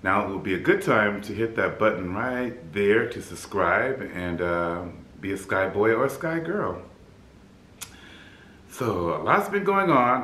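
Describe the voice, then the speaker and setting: Fifties guy w a low baritone, a little scratchy today, chatty and upbeat, roomy echo in his apartment. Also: sharp tone. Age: 50s. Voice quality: deep baritone, slightly scratchy. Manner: upbeat, chatty, laughs easily. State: scratchy throat. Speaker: flight attendant vlogger. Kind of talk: casual vlog chat. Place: apartment room by a city window.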